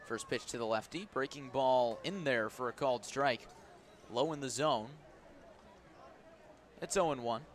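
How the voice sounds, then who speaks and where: A man's voice: medium pitch, measured pace, pauses often. A man doing live baseball play-by-play on radio in clipped, descriptive calls that lift when the action picks up. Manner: clipped descriptive calls, rising to excitement on plays. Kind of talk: live sports commentary. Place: outdoor ballpark broadcast position.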